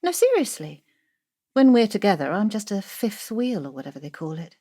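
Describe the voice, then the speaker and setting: A woman's voice: mid-range voice, very animated, normal speed, warm tone. An actress playing a pragmatic, ambitious female student in an audio drama, dry and matter-of-fact. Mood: cool, guarded. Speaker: actor.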